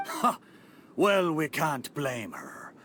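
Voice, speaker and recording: A man's voice: fairly high pitch, big pitch swings, very slow, sharp tone, slight background noise, heavily acted. A man voicing a jovial old talking boat in a game, with hearty, salty sailor talk. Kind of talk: animated game voice acting.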